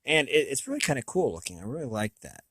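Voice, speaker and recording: An American man hosting a casual, unscripted review, thinking aloud in a halting, rambling way with a slightly raspy voice. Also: medium pitch, very animated, fairly bright tone, no echo, studio quality. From United States. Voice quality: slightly raspy from long talking. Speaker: video host.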